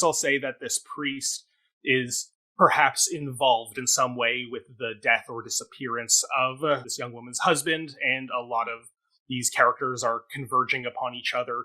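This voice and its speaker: A man's voice: mid-range voice, sharp tone, low-quality recording. A man with a Canadian accent chatting casually as a reviewer, rambling, hesitant and a little self-deprecating. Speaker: co-host and reviewer. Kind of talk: casual chat, improvised synopsis.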